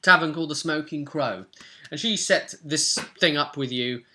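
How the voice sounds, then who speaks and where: A man's voice: fairly high pitch, moderate pace, pushed and bright, a little hiss or hum. A young man, a game master, casually recapping a tabletop roleplay session at home. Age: young adult. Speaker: game master and vidcast host. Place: small room at home, indoors.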